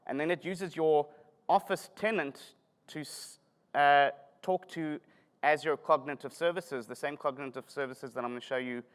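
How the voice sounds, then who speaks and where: A man's voice: on the high side, fairly even intonation, normal speed, clear, resonant tone. A middle-aged man with a South African accent giving a self-deprecating, joking conference talk. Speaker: conference speaker. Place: conference hall. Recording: long hall reverb.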